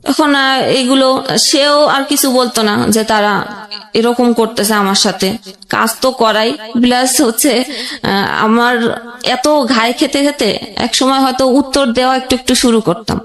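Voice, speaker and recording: A young woman with a Bangladeshi accent telling her own life story conversationally, in a halting, plain way with frequent rephrasing. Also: fairly high pitch, fairly even intonation, fairly bright tone. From Jashore region, Bangladesh. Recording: roomy echoing sound.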